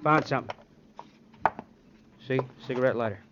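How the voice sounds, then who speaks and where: A man's voice: mid-range voice, normal speed, natural voice. Man acting a plain-spoken radio cop, muffled sound. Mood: matter-of-fact. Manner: clipped, colloquial, matter-of-fact. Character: plain-spoken, unbookish working police detective. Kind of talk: 1950s radio crime drama acting. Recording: dull, slightly noisy old radio recording.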